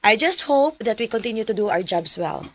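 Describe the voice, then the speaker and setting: A woman's voice: mid-range voice, expressive, normal speed. A woman with a Filipino accent playing a confident, friendly project manager in a radio drama. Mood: confident, welcoming. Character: Miss Nika, confident, friendly single female project manager.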